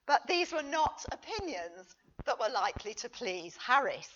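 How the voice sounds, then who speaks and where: A woman reading a history paper aloud as a conference speaker, expressive and emphatic, with a wry touch. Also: a little high, moderate pace, muffled recording, a little hiss or hum. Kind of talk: prepared lecture read aloud to an audience.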